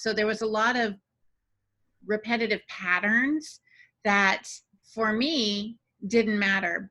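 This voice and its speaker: Bright-voiced woman in casual podcast talk. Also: mid-range voice. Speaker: podcast panelist, writer. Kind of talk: casual advice-giving discussion.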